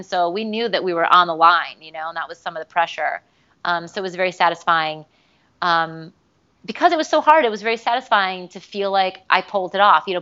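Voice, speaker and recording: A woman's voice: on the low side, lo-fi sound. A woman, a voice actress, telling stories in a casual interview, chatty and full of "like" fillers. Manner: chatty, many "like" fillers, animated re-enacted quotes.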